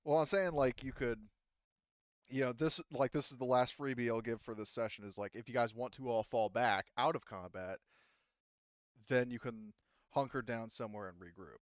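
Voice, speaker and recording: A man's voice: medium pitch, moderate pace, dry recording, muffled recording. A man speaking casually as game master of a tabletop game, explaining options in a conciliatory way.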